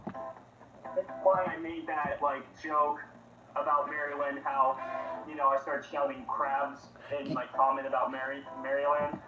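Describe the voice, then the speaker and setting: A man joins the chat over a call, speaking haltingly with many fillers before landing blunt opinions. His voice sits a little high. The audio is thin and phone-like, distant and roomy, with music clearly behind him. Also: flat delivery, background noise. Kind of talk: casual chat. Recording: tinny echoey call audio from afar.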